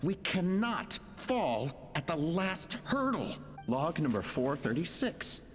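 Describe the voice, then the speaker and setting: A man's voice: fairly high pitch, very animated, slow. Reverberant, dramatic male research leader. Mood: determined. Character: grave, driven research leader reading out experiment logs. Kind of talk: dramatic game log narration. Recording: long hall reverb, faint music.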